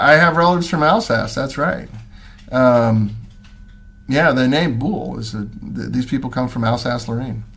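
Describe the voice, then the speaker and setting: A man's voice: mid-range voice, creaky, a bit bright, slightly rough. A middle-aged man from Missouri, hosting a casual livestream from home and answering viewer comments in a rambling way, with lots of ums and restarts. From Missouri, USA. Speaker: livestream host.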